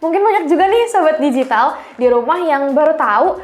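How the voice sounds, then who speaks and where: Higher-pitched Indonesian woman hosting, roomy sound. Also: lively intonation, brisk. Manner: informal, responsive, recaps and asks follow-ups. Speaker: podcast host. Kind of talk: casual interview hosting. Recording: roomy, echoing voice.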